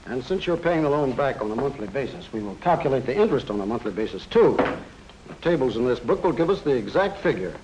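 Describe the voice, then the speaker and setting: A courteous, methodical male banker played by an actor from a 1940s educational film. His mid-pitched voice moves up and down freely while staying formal and businesslike. A faint layer of old soundtrack noise sits over him. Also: sing-song, quick pace, darkish tone, close to an everyday voice, poor audio. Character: courteous, methodical male small-town bank loan officer.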